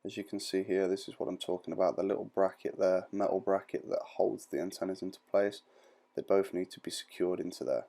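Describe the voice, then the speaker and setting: A man with a very low, gravelly voice narrates an informal how-to. His tone is soft-edged and dark. He explains each step in plain, reassuring language, like a tutorial presenter. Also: fairly even intonation, a little fast. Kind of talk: informal step-by-step instructional voice-over.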